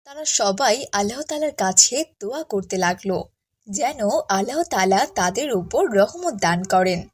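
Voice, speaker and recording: A woman narrating a cartoon tale and voicing a gentle, caring, pious village mother. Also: medium pitch, animated, a little slow, husky. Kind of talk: cartoon storytelling with character dialogue.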